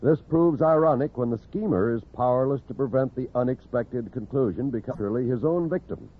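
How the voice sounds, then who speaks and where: A man's voice: medium pitch, fairly quick. A man reading a scripted promo as a mid-century network radio announcer. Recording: tinny old radio broadcast recording.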